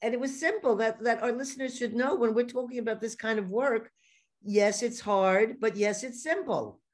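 A woman's voice: a little high, normal speed. A woman with a New York accent hosting a podcast, telling stories in a chatty way and laughing often. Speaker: podcast host. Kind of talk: casual interview conversation.

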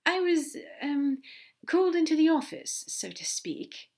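A gentle male angel from an audiobook, acted by a woman narrator, speaks in a lifted pitch. He is prim and fussy, choosing courteous words with a slight hesitance. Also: big pitch swings, a little slow, breathy edge, full character voice. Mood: sheepish. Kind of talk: audiobook character dialogue.

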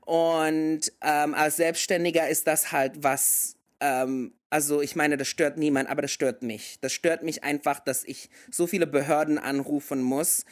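A man's voice: on the high side, level tone, unhurried, hardly any pauses. A man in his thirties, a native German speaker, chatting casually and slipping into English phrases. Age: 30s. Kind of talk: casual conversation.

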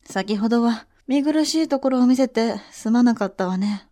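A woman's voice: on the high side, moderate pace, mellow, close to an everyday voice. A woman playing a proud, shrewd noble elder sister in a voice drama, haughty, theatrical and formal. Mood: composed. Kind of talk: voice drama acting.

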